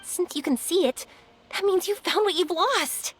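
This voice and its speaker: A woman's voice: very high voice, unhurried, a little hiss or hum. A woman voicing a cheerful, encouraging young adventurer woman in a video game, with a bubbly manner.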